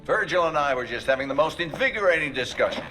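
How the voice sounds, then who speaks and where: A man's voice: fairly high pitch, expressive, quick pace, bright, forceful tone, faint music behind. A man playing a stern older director and father figure in a video game, formal, weighty and grandiloquent. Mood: proud approval. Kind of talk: dramatic video game cutscene acting.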